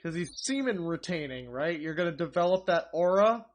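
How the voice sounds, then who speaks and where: A man's voice: fairly high pitch, animated, fairly quick. A man streaming, rambling and mocking a video in casual commentary.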